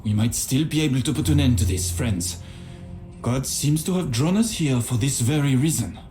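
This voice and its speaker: A man's voice: mid-range voice, expressive, normal speed, warm tone, faint music behind, close to an everyday voice. A man playing an earnest, pious crusader companion in a video game. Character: devout male medieval crusader companion, earnest. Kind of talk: video game cutscene acting.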